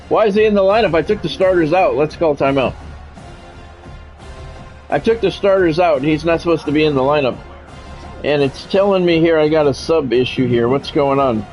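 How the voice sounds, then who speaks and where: Male game streamer, fairly high register, sportscaster-style calls with salty off-the-cuff commentary, music in the background. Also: normal speed, darkish tone, low-quality recording. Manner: announcer-style delivery with wry, grumbling, profane asides. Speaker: gaming streamer doing play-by-play commentary. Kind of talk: sports play-by-play commentary mixed with casual asides.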